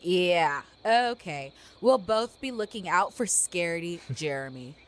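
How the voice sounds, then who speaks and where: A woman's voice: lowish voice, animated, unhurried. A young woman playing a smug, teasing prankster in an amateur radio play.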